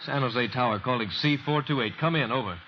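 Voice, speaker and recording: A man's voice: mid-range voice, a little fast, lightly acted. An American actor in an old-time radio drama playing an earnest, anxious airfield radio operator in his twenties. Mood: businesslike. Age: 20s. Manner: earnest, pleading, clipped radio procedure. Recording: muffled old radio recording, heavy hiss.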